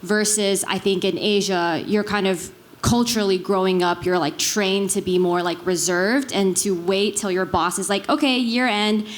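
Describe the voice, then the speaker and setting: Conversational woman, mid-pitched. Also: fairly even intonation, moderate pace, hardly any pauses. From Taiwan. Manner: conversational, frequent check-in tags like "right". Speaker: panelist, business executive. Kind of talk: casual panel discussion.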